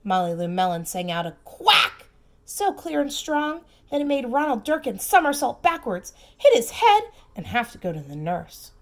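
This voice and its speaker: A woman reading a storybook aloud to young children, expressive and emphatic, with simple asides and questions for the listeners. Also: fairly high pitch. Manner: expressive, slow emphatic phrasing, simple child-directed comments. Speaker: preschool teacher reading aloud.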